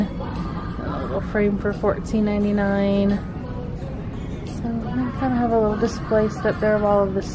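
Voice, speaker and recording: Slow, chatty woman browsing a decor shop. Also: mid-range voice. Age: middle-aged. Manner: chatty, points out prices and cute items. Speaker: vlogger. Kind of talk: shop-with-me vlog. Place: home decor store, indoors. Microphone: handheld camera. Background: background music.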